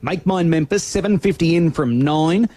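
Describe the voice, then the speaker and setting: Higher-voiced man reading race betting. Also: moderate pace, dark tone. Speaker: racing betting reporter. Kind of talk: live radio betting update. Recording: shortwave and AM radio broadcast.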